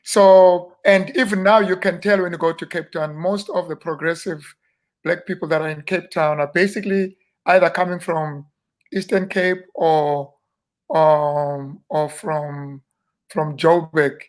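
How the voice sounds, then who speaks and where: A South African man in his fifties, a minister, reminiscing in an unhurried, rambling interview with many fillers and restarts. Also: a little high, fairly even intonation. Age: 50s. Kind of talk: relaxed reminiscing interview talk.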